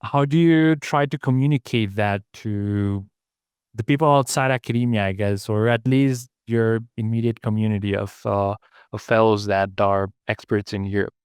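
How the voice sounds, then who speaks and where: A man's voice: on the low side, fairly even intonation, normal speed, clean tone, no room sound, clean studio sound. A man hosting an interview, asking long questions eagerly and repeating words as he forms them. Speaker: podcast host interviewer.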